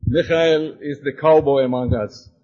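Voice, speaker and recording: A man from Austria presenting his congregation to an audience, hesitant and searching for words, a little excited. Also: mid-range voice, normal speed, highs cut off. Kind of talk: halting presentation to an audience with slides.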